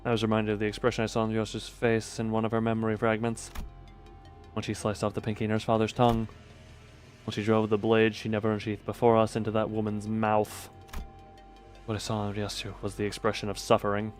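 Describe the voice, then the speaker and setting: A man's voice: fairly low pitch, monotone, fairly quick. A man on a live game stream, reading dialogue aloud theatrically and adding dry, casual asides. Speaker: livestreamer. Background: game music.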